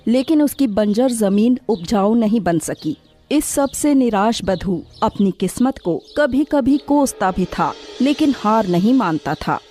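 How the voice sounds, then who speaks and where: A woman's voice: on the high side, mellow. A woman narrator reading a scripted moral story for children.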